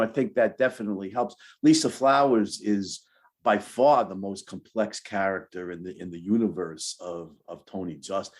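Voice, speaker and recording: A man with a Brooklyn accent talking casually in an interview, haltingly, repeating words and phrases as he goes. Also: lowish voice, darkish tone. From Brooklyn, New York. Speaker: author being interviewed.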